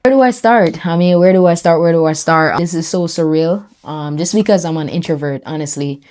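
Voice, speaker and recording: A woman's voice: low voice, fairly quick, darkish tone. A young woman with a Jamaican accent, a brand founder chatting reflectively to camera, full of "you know" and "right?". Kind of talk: casual talk to camera.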